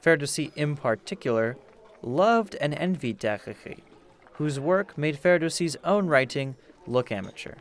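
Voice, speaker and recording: A man presenting and narrating a scripted documentary with a measured storyteller's delivery. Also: fairly high pitch, expressive, a little hiss or hum.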